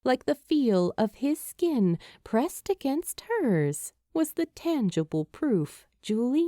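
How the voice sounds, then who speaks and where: A woman reading a story as a narrator, in an expressive, measured storytelling manner. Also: mid-range voice, no echo, clean studio sound. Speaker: fan fiction narrator.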